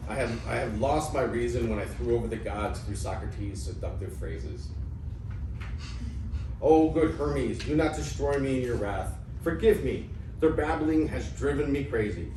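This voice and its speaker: A man's voice: mid-range voice, dark tone, natural voice. A man playing a poor, gullible old Greek father who declaims with indignation in an amateur reading of ancient comedy. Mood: despairing. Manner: declamatory, indignant, stumbling over some words. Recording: echoey room, distant mic, faint noise.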